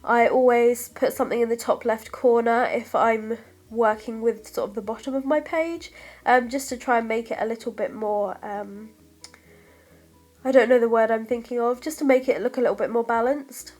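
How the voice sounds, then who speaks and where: A young woman from the UK talks through a craft voice-over with a thick head cold, her voice stuffy and slightly breathless. She chats in a friendly, apologetic way with plenty of ums, and her pitch sits a touch high. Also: steady tone, normal speed, darkish tone, soft background music. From United Kingdom. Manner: chatty, apologetic, lots of ums. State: congested from a cold, stuffy nose; short of breath, voice fading. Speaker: craft vlogger. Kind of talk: scrapbooking process voice-over.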